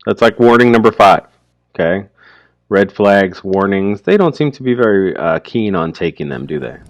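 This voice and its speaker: Deep voiced guy doing laid back explainer commentary, dry sound, informal. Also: lively intonation, moderate pace, no room sound. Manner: informal, plain-spoken explaining. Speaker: commentator, teacher.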